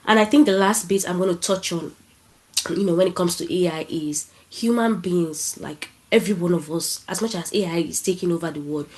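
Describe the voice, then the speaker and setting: A woman's voice: fairly low pitch, a little mellow, poor audio. A woman with a Nigerian accent who has a cold, giving a casual talk at an online tech event over a video call and asking the audience questions. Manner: chatty, asks the audience questions.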